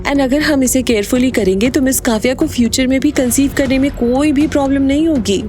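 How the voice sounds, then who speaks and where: A woman's voice: sits high, a little fast, darkish tone, lightly acted. An actress playing a professional, reassuring female doctor in an audio drama. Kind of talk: Hindi audio drama acting.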